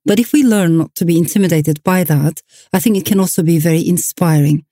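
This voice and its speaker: Middle-aged turkish-accented woman, thoughtful interview answers, warm low voice, dry sound. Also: dry recording. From Turkey. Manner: thoughtful, measured, with soft fillers. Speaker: novelist guest. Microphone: remote line from home.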